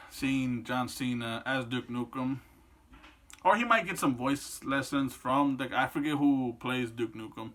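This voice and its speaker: Bright-voiced young man, rambling and profane. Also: medium pitch. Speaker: video host. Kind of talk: casual opinion vlog.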